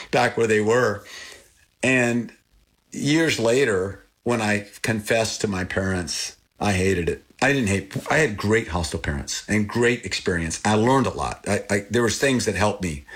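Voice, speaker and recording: A man's voice: on the low side, a little slow, slightly rough. An older man raised in Thailand with Thai as his first language, talking earnestly as an interview guest and lifting his voice when he recalls things. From born in Texas, raised in Thailand. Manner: earnest, animated when recalling, repeats and restarts. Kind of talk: reflective interview talk.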